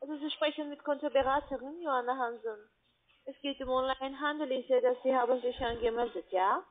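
A woman with a foreign accent and non-native phrasing, giving an insistent sales pitch as a call centre agent. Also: fairly even intonation, fairly quick. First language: not German. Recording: band-limited phone call audio.

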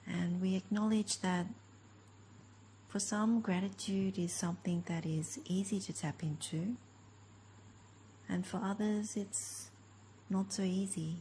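A woman leading a guided meditation as a teacher, speaking gently in short, unhurried phrases with long pauses. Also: medium pitch, fairly even intonation, slight background noise, poor audio. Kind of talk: guided meditation, slow spoken instruction.

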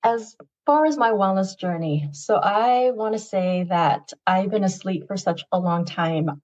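A woman in her fifties, a retired nurse whose first language is Tagalog, tells her wellness story in an earnest, measured way on a video call. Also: lowish voice, darkish tone. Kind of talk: podcast guest story. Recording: muffled lo-fi sound.

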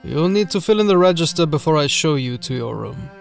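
A man's voice: a little high, lively intonation, a little fast, creaky, faint music behind. A man voicing a formal, curt old castle steward, a deferential servant in a gothic horror audiobook. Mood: politely brisk.

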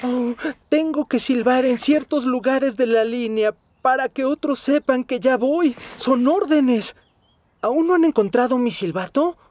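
A man's voice: very high-pitched, measured pace, darkish tone, a little hiss or hum. A man voicing a proud, grumpy little steam engine in a Latin American Spanish cartoon dub, with an indignant, huffy manner. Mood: anxious. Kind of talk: animated children's series dub. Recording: music behind the voice.

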